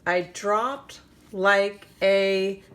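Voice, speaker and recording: A woman reading a children's picture book aloud in a dramatic, drawn-out way with suspenseful pauses. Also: mid-range voice, fairly even intonation, a bit bright, slight background noise. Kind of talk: animated children's storybook read-aloud.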